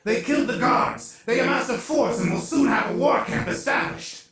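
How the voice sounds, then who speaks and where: An actor playing a fearful, deferential alien underling in a video game, agitated and high-strung. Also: male voice, moderate pace, heavy background noise. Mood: panicked. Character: frantic, fearful alien underling reporting to his lord. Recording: echoing, distant, under loud music.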